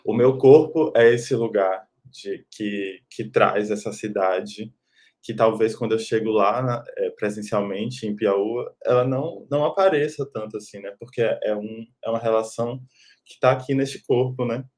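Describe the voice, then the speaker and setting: Man, low voice, reflective, hesitant, video call. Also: normal speed, a little mellow. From Brazil, Salvador. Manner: reflective, hesitant, many fillers. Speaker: film director. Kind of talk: online film festival panel.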